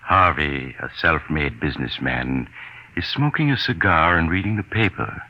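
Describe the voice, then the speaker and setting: An actor playing a mysterious, genial yet sinister host who narrates a 1940s radio suspense story in a theatrical, deliberate way. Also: male voice, extremely low pitch, animated, exaggerated voice. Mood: ominous, inviting. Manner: theatrical, deliberate, ominously inviting. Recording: vintage radio, muffled, loud music behind.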